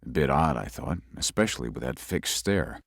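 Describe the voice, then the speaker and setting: An actor playing a young lawyer who narrates a ghost story in a measured way. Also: male voice, rumbling low, animated, gravelly, a bit bright, dry recording, clean studio sound, exaggerated voice. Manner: measured, tells the story with rising unease. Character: young male lawyer recuperating in the country. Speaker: narrator and lead.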